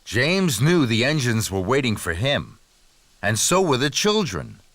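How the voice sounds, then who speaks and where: A man narrating a scripted children's story in an expressive storytelling manner. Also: mid-range voice, a little slow, no echo. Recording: music under the voice.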